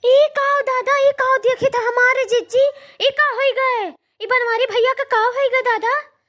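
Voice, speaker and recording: An extremely high-pitched, plaintive cartoon village woman, mourning with a neighbour. She drags out her exclamations slowly in an Awadhi accent. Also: fairly even intonation, fairly bright tone. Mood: tearful shock. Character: tearful village neighbour woman consoling the bereaved widow. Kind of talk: cartoon comedy acting.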